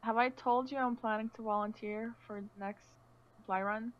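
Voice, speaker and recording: Woman playing feisty young survivor, highish voice. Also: steady tone, normal speed, lo-fi sound, close to an everyday voice. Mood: casual. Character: feisty, short young female survivor who cooks. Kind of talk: amateur Minecraft roleplay voice acting.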